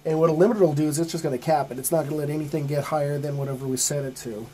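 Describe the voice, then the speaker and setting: Tutorial host, male, middle-aged. Relaxed, dark-toned delivery, informal and plain-spoken, walking through steps. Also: fairly high pitch, rapid-fire, slight background noise. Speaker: host of music recording tutorial. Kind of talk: informal step-by-step tutorial narration. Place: small home studio with acoustic foam.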